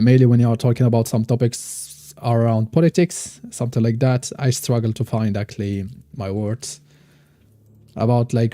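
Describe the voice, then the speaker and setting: Dark-voiced man streaming a game. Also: medium pitch, no room sound. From Morocco. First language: Moroccan Arabic. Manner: thinks aloud, chats with viewers, halting non-native phrasing. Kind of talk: casual live-stream gameplay commentary.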